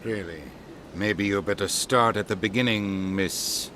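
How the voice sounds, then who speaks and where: A middle-aged ambassador in a sci-fi game. The voice is fairly low, brusque and sarcastic, with an air of tired authority. Faint ambient noise sits behind it. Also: male voice, unhurried, a bit bright. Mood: exasperated. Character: exasperated, skeptical middle-aged male ambassador. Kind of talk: video game voice acting.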